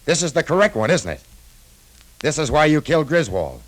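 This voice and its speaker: An actor playing a tough, shrewd cafe owner turned detective in a 1950s radio drama, terse and hard-boiled. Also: male voice, a little high, sing-song, brisk, poor audio. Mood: wry, accusing.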